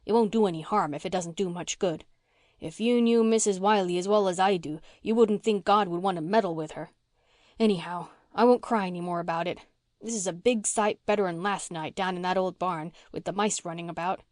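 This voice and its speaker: A woman reading an audiobook gives voice to a scrappy, slangy orphan girl who talks bluntly and flares up quickly. Her rough country speech comes across dry and close. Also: lowish voice, darkish tone, dry recording, lightly acted. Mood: wistful.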